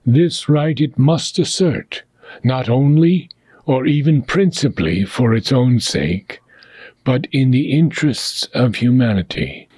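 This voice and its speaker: A man reads a philosophy book aloud in short, measured phrases broken by long pauses. His voice is mellow and a little husky, unhurried throughout. The recording is dry and close, with no room sound. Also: mid-range voice, expressive, no echo. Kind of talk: slow, deliberate reading aloud.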